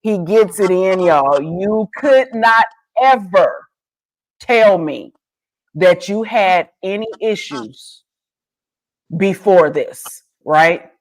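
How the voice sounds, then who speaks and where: Woman, podcast host, playful, hyping the guest, lively pitch. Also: extremely slow, poor audio. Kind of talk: casual interview chat.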